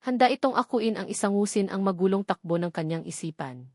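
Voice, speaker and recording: A woman's voice: medium pitch, expressive, a little fast, dry recording, clean studio sound. A woman reading a scripted romantic drama audiobook as its narrator.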